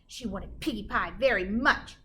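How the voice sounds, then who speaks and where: A woman's voice: medium pitch, normal speed, fairly bright tone, a little hiss or hum. A woman reading a children's storybook aloud like a teacher, with dramatic emphasis and chatty asides to her listeners.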